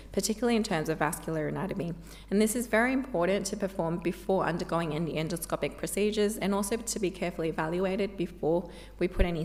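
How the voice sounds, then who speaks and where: A woman's voice: fairly low pitch, darkish tone. Woman lecturing with a tired, failing voice. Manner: measured, informative. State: losing her voice. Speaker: medical specialist giving a talk. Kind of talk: conference lecture. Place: conference hall. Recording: long hall reverb.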